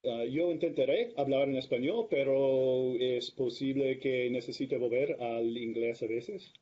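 A middle-aged man whose first language is English, speaking Spanish with an American accent as a guest lecturer on a video call, slow and careful, with many hesitations. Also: mid-range voice, mellow. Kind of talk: academic talk over video call. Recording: dull lo-fi video call audio.

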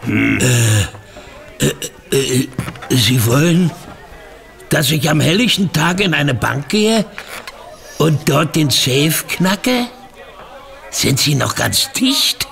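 An actor playing a cheeky, mocking safecracker crook in a German radio play, with a sardonic, hesitant drawl. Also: male voice, a little high, big pitch swings, takes it slowly, vocal fry, pauses often, a bit bright, heavily acted. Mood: incredulous, wary.

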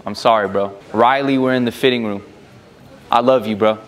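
A man's voice: medium pitch, fairly even intonation, normal speed. A young man doing casual prank banter with strangers, playful, pleading and persistent, picked up by a camera in a large store. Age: young adult. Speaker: prank vlogger. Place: large busy retail store.

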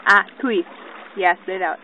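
A woman's voice: mid-range voice, steady tone, moderate pace. A young woman off camera, filming her toddler at a lakeshore on a phone and coaxing her in a playful sing-song voice. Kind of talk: home video talk to a toddler. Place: outdoors at a lakeshore. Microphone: phone held by the camera operator. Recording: muffled phone audio with water noise. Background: lapping water and splashing.

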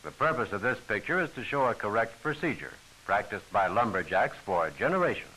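A man's voice: fairly low pitch, big pitch swings, fairly quick, pushed and bright, a little hiss or hum, poor audio. A man giving formal, instructional scripted narration for a training film, on an old film soundtrack.

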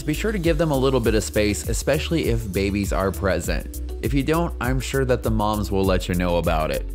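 A man narrating a scripted kayaking travel vlog as a voice-over, giving friendly, informative tour-guide directions. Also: mid-range voice. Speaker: kayaking vlogger. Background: soft background music.

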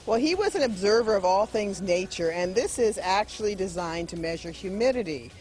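A woman's voice: medium pitch, animated, a little fast, a little mellow. A middle-aged woman museum guide answering a TV reporter's questions in a friendly, explanatory way inside a large hall. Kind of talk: interview answers. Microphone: reporter's handheld mic.

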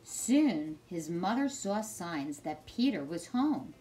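Unhurried, animated middle-aged woman reading aloud. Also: lowish voice, faint music behind, rough recording. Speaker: teacher reading aloud to children. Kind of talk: expressive storybook read-aloud.

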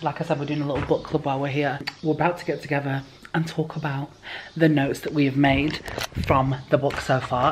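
A young woman chatting to a handheld camera in a room, rambling and lively as she talks. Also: low-pitched, background noise. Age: young adult. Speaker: vlogger. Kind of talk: casual chat to camera. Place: indoor room with bookshelves at a retreat. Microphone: handheld camera at arm's length.

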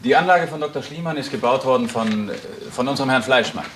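An actor playing a formal, firmly assured manager of an alarm company in a German crime radio play. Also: male voice, mid-range voice, fast, fairly bright tone, slight background noise, natural voice.

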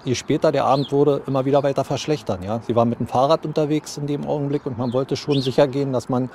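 Halting middle-aged man, dark mellow voice. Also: mid-range voice, steady tone. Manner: halting, hesitant. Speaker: police spokesman. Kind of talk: interview recollection. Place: outdoors by a building. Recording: faint outdoor street noise.

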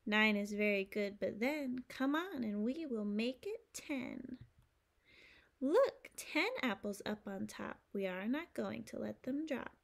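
A woman in her thirties reading aloud to young children as a preschool teacher, phrasing simply and clearly. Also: on the high side, expressive, takes it slowly. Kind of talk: children's storytime read-aloud.

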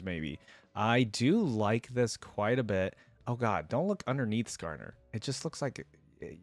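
Young man, medium-low pitch, chatty reaction commentary, thinking aloud, close dry desk mic. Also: no room sound. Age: young adult. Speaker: reaction video host. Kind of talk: casual game reaction commentary. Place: small indoor room at a desk. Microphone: close desk microphone, wearing headset.

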